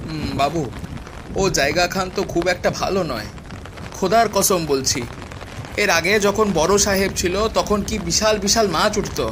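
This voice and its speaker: A man's voice: sits high, moderate pace, noisy background, close to an everyday voice. A man playing an uneasy, deferential village fisherman in a horror story, with a hesitant manner. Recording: music and rain behind voice.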